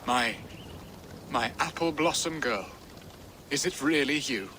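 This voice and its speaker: A man's voice: medium pitch, animated, slow, bright, forceful tone, a little hiss or hum. A man playing a sentimental, genial middle-aged nobleman in a period game, speaking in a courtly, effusive way. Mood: adoring, moved. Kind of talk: dramatic period game acting.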